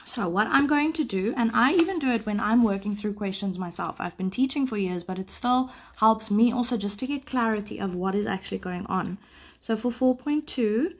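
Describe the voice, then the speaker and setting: A woman who is sick teaches a live maths lesson, explaining patiently and clearing her throat often. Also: mid-range voice. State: sick, voice a bit off. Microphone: phone camera over paper.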